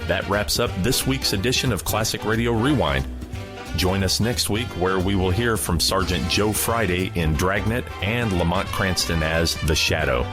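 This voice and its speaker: A man's voice: deep voice, moderate pace. A man hosting a podcast with scripted, radio-style announcing. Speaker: podcast host. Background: guitar music.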